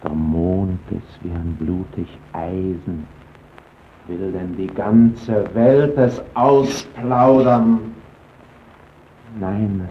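Casting: haunted man whispering in dread, deep and slow, 1930s radio play, lo-fi. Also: slight background noise. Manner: hushed, fearful, often whispering. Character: haunted man washing off blood at night. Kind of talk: 1930s radio drama acting. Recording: dull old radio broadcast recording.

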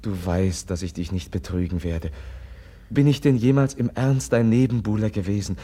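An actor playing a gentle, compassionate prince in a radio drama, speaking earnestly and haltingly as he pleads with his rival. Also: lowish voice, lightly acted. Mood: pleading, insistent. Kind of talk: classic German radio drama acting.

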